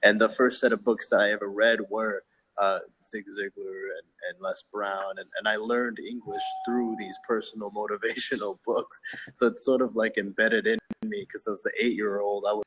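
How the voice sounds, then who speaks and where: Upbeat Filipino man, low voice. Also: slightly rough, low-quality recording. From Philippines. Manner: energetic, motivational, quick bursts with restarts. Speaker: guest, inspirational hip hop artist. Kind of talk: casual live-stream chat. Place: hotel lobby.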